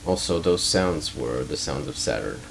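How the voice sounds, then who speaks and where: A man's voice: extremely low pitch, expressive, normal speed, gravelly, very noisy. A man giving casual, explanatory commentary as a video host, talking informally as if thinking aloud. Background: music under the voice.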